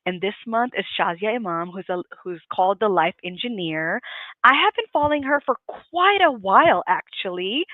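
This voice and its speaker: A woman's voice: medium pitch, no echo. A woman hosting a casual live interview, effusive and gushing, rambling with lots of fillers. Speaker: interview host. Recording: dry, tinny phone-like line.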